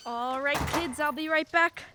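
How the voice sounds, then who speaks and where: A woman playing a brisk, cheerful single mother in a radio play. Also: high voice, noisy background, lightly acted. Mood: breezy. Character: breezy single mother, a lawyer.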